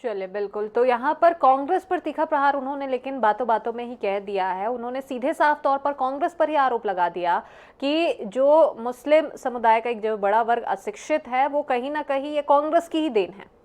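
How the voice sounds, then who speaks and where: A woman's voice: high voice, expressive, a little fast, darkish tone. A woman anchoring live television news and handing over to reporters.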